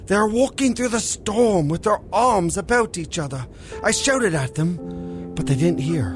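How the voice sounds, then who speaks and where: A woman playing a shaken country doctor in an audio drama, grave and halting as bad news is told. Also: fairly low pitch, lively intonation. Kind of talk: melodramatic literary audio drama acting. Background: dramatic music.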